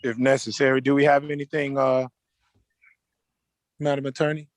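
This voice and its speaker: Man, meeting chair, mid pitch, halting courteous delivery, dry audio. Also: flat delivery, dry recording. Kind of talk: formal virtual meeting procedure. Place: virtual meeting call.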